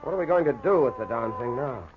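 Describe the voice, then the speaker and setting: A man playing a nervous, excitable young helper in old-time radio horror, with a breathless, melodramatic delivery. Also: mid-range voice, fast talker, lightly acted. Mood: tense, practical. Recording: very noisy, muffled old radio recording.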